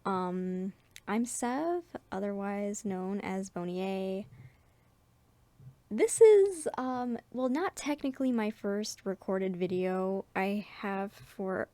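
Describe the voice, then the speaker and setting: Female creator, informal viewer chat, self-deprecating and giggly, mid-pitched, dry recording. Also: slow, mellow, no echo. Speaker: webcomic artist and video creator.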